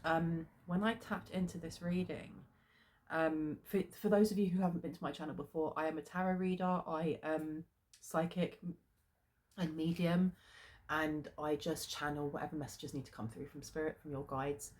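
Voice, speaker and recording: A woman's voice: low-pitched, steady tone, normal speed, darkish tone, a little hiss or hum. A woman with a head cold, sniffly, giving a chatty tarot card reading with plenty of ums. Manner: chatty, many filler ums. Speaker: tarot reader.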